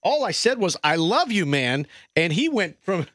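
Excitable laughing male radio host. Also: sits high, normal speed, no echo, clean studio sound. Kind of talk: morning radio prank call segment.

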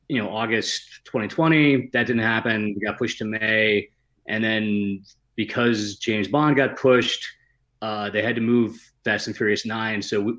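A man's voice: on the low side, fairly bright tone, poor audio. A man talking casually as a guest screenwriter, slangy and given to self-deprecating humour. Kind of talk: casual chat.

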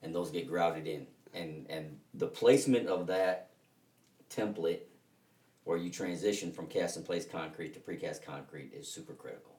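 A man being interviewed as a construction team leader, explaining technical details conversationally, haltingly, with emphasis on key points. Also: deep voice, a little mellow. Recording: echoey room, distant mic.

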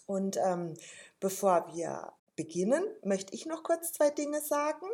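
A woman's voice: medium pitch, big pitch swings, a little slow, a little mellow. A woman who reads a formal introduction and then interviews her guest. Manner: formal, carefully read. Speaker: podcast host.

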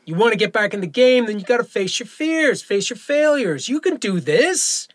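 A man playing a brisk, encouraging firearms instructor in an audio drama, with clipped, commanding delivery. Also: high voice, animated, no echo, clean studio sound. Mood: coaxing, supportive. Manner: commanding, clipped instruction with coaxing pep talk.

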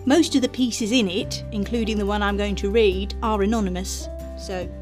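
A woman's voice: mid-range voice, steady tone, normal speed, over music. A woman hosting a readings podcast, chatting in a wry, understated way as she introduces pieces.

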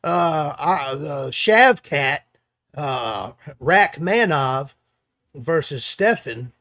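A man's voice: fairly high pitch, lively intonation, extremely slow, pushed and bright. A man co-hosting a casual sports chat, enthusiastic and hedging as he corrects himself. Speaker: co-host picking fights.

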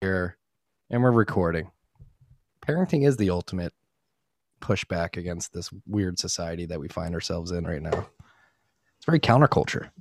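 A man's voice: low voice, expressive, normal speed, pauses often. A young man hosting a casual podcast conversation, plain-spoken and a little wry. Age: young adult. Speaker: podcast host.

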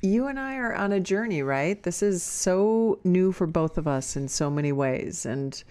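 A woman's voice: lowish voice, animated, moderate pace, a little mellow. An older woman with a Minnesota accent, co-hosting a casual podcast, rambling earnestly and laughing often. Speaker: podcast co-host. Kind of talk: casual chat.

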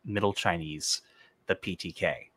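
Deep, forceful man in a dry room. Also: steady tone, measured pace, no echo. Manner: enthusiastic, explanatory, hedges his opinions. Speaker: podcast host. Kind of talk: casual conversation.

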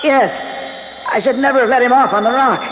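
An actor playing an anxious, earnest father of a young boy in a 1970s radio drama. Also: extremely high pitch. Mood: anxious, pleading. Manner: earnest, halting when flustered. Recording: muffled, hissy old radio recording.

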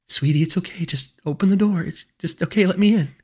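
A man's voice: high-pitched, a little fast, breathy edge, pitched above the performer's own voice. A man voicing a desperate, coaxing mother in a horror story, pleading and wheedling.

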